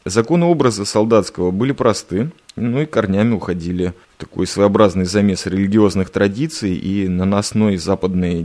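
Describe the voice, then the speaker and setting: A man hosting his own podcast, telling unhurried memories in a wry, self-ironic way. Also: on the low side, darkish tone. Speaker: podcast host. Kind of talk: reflective monologue.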